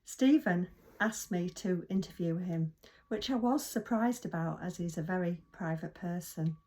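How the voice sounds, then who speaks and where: A woman's voice: on the low side, a little slow, mellow. A woman conducting a conversational interview, introducing her guest and asking simple personal questions.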